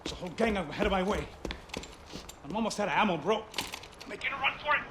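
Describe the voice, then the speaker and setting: A loyal, streetwise gunman in a video game. His voice is pitched high and sounds urgent and informal, like an anxious partner in action. There is clear noise behind him. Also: very animated, fast. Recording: game audio with loud ambient noise.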